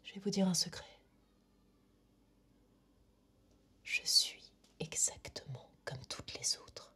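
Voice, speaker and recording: A self-declared witch in a dramatic film monologue. Her voice is low and very breathy, often sinking into a whisper. She speaks slowly and intimately, with calm, taunting menace. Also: exaggerated voice. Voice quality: breathy, hushed near-whisper. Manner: slow, deliberate, intimate, taunting.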